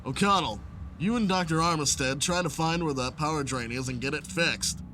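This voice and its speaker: A man's voice: on the high side, normal speed, bright, forceful tone. A man playing a stern, irritable military captain in an audio drama. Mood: angry, stern. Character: stern, irritable male military captain briefing his troops. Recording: clearly noisy background with engine-like ambience.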